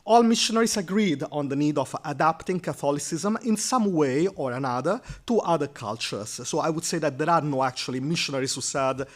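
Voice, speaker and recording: Man giving a measured academic talk. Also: fairly high pitch. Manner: measured, with frequent fillers. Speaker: historian presenting a paper.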